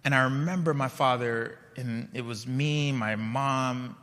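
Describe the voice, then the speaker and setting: A man's voice: mid-range voice, a little slow, fairly bright tone, big-room sound. A man in his forties who grew up in Liberia, giving a conversational keynote speech and often checking in with his audience. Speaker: keynote speaker. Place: large conference hall.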